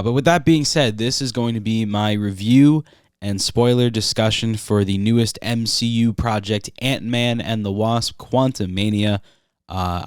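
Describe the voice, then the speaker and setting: A young man hosting his own podcast in a relaxed, rambling way, a little congested, with lots of "uh" fillers. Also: lowish voice, clean tone, no echo, studio quality. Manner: relaxed, filler-heavy, frequent "uh". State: congested from recent illness. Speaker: podcast host. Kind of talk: casual solo podcast review.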